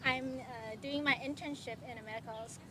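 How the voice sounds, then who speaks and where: A woman's voice: high voice, steady tone, fairly quick, a crowd in the background. A young woman, an intern doctor introducing herself hesitantly with fillers. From Taiwan. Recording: noisy outdoor recording.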